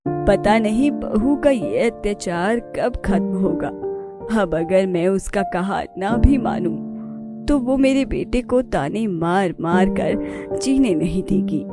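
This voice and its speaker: A woman's voice: medium pitch, expressive, slow, loud music behind the voice. A woman playing a meek, long-suffering old mother-in-law in a cartoon story, timid and pleading. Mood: weary, meek. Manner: pleading, timid, gentle-worded. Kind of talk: Hindi cartoon story acting.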